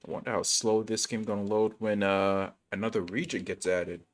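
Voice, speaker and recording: A man's voice: fairly low pitch, fairly even intonation, normal speed. A man chatting casually on a gaming livestream in laid-back, slangy bro talk. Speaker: game livestreamer. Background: game music.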